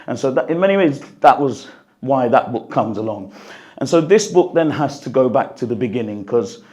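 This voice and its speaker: A man with a croaky, strained voice speaks to an audience at a book launch, then reads an excerpt, earnest and reflective, with the odd cough. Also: medium pitch, lively intonation, moderate pace, dark tone. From United Kingdom. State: croaky voice, recovering from losing it. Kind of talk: talk and reading from his book. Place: hall at a gathering, in front of an audience. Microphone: handheld microphone.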